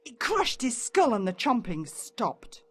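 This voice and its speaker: A woman with an Australian accent reads a first-person story as a dramatic, expressive audiobook narrator. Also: a little high, slow pace, breathy edge.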